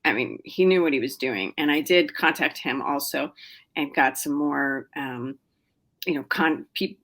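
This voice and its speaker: Low-voiced chatty woman novelist. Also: unhurried, fairly bright tone. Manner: chatty, many fillers and restarts. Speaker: novelist guest. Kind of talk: casual interview answers.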